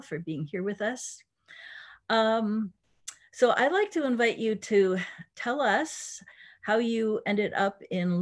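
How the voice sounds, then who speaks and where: A woman in her sixties hosting an online talk as a pastor, speaking conversationally with pauses mid-sentence over a video call. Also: mid-range voice. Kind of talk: conversational interview introduction. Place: home room with shelves, indoors.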